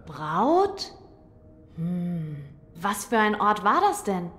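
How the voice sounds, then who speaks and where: A woman playing a gentle, thoughtful young noble boy in an audio drama, soft-spoken and formal. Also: on the low side, sing-song, drawn-out words, heavily acted. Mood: pensive, resolved. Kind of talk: German audio drama acting.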